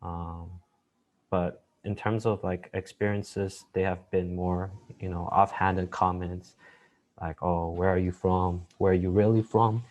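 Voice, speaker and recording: A man from Malaysia talking over a headset on a video call. His voice is deep and flat, and he speaks softly, with plenty of fillers and sentences that trail off. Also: unhurried. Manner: soft, hesitant, many fillers, trailing off quietly. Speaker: panelist. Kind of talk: casual discussion on a video call. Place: home room.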